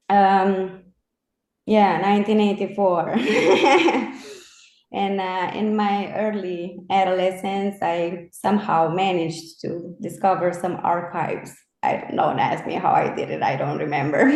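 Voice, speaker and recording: A woman's voice: medium pitch, takes it slowly, darkish tone. A woman in her thirties from Romania, interviewing a guest in an earnest, reflective way. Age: 30s. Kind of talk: conversational interview. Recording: roomy echo.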